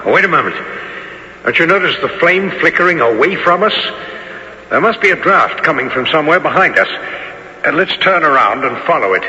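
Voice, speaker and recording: Echoing old radio recording; determined adventurer, commanding, urgent, sharp-edged voice. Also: male voice, on the high side, animated, a little fast, highs cut off. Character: determined English gentleman adventurer, eager and commanding. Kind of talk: 1970s radio adventure acting. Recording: roomy, long echo, noisy old radio recording.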